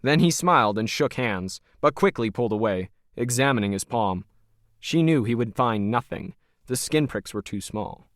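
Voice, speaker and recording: A man's voice: mid-range voice, expressive, moderate pace, no room sound. Male fiction narrator, dry sound.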